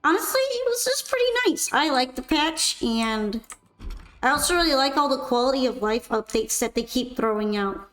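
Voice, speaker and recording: Young woman streamer, high voice a bit raspy n tired, chatty, roomy echo. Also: lively intonation, normal speed, fairly bright tone. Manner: chatty, rambling, upbeat sign-off. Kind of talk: casual gameplay stream chat.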